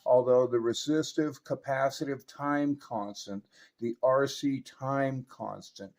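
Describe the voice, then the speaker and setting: A man's voice: mid-range voice, fairly even intonation, slow pace, darkish tone. A man narrating an instructional demonstration as a radio repair technician.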